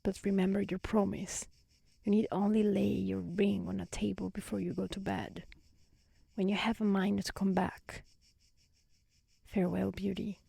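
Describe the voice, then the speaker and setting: Breathy, sorrowful storybook beast, softly read by a woman. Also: medium pitch, level tone, moderate pace, long pauses, dry recording. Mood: tender farewell. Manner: mournful, tender, formal. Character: gentle, sorrowful, lovesick male beast. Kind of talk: soft-spoken ASMR storybook reading.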